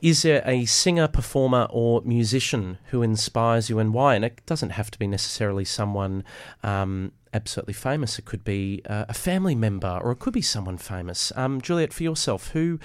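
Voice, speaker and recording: A man's voice: mid-range voice, animated, brisk, hardly any pauses, clean tone, no echo, studio quality. A man hosting a live radio interview, an opera singer himself, who keeps praising his guests. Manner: effusive, praising.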